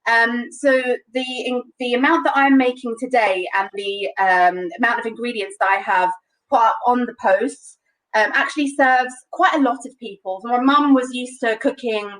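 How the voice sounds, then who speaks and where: A woman's voice: a little high, fairly bright tone. Chatty young London woman, distant mic. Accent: London English. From London, England. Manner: chatty, many ums, light laughs. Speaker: cooking show presenter. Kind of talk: casual live cooking demo. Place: home kitchen, indoors. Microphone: webcam on a video call. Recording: distant webcam mic in kitchen.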